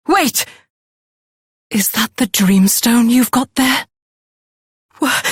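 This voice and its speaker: A woman's voice: on the high side, breathy edge, no echo, studio quality. A woman voicing a proud, grumpy fairy mother in a video game, curt and disdainful. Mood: grieving. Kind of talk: video game character voice acting.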